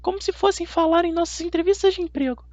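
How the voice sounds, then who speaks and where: Man putting on a high-pitched snide teenage girl. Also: fast talker, a little mellow, dry recording, dull audio. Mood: mocking. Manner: whiny, sarcastic sneering. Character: snide, mocking teenage girl bully, classmate. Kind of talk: audiobook character voice.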